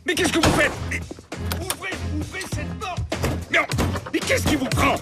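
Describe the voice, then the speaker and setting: A man voicing a courteous, easily flustered lawyer in an animated series. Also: extremely high pitch, measured pace, over loud music. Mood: alarmed. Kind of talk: French animated series dubbing.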